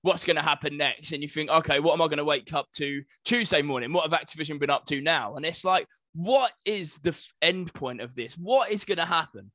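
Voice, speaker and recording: Bright-voiced British man, chatting casually. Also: a little high, fairly quick, hardly any pauses, no room sound. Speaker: podcast co-host. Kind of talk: casual chat about gaming news.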